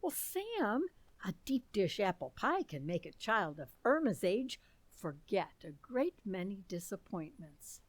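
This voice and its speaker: A woman's voice: medium pitch, sing-song, unhurried, no echo. A woman playing a kindly, fussing middle-aged mother in an old-time radio comedy. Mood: helpful.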